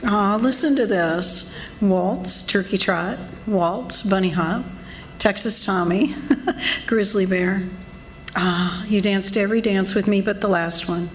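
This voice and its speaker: A woman's voice: mid-range voice, takes it slowly, lightly acted. A woman playing a sensible, long-suffering wife in a 1940s-style radio comedy, teasing and scolding with dry exasperation. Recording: live stage recording with background noise.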